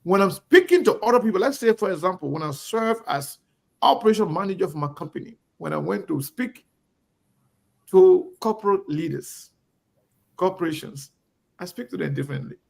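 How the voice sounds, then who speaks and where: Middle-aged Liberian man, Liberian English, high gravelly voice, emphatic talk-show host rhythm. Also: lively intonation, normal speed. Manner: emphatic, repetitive, preacher-like cadence. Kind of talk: impassioned monologue.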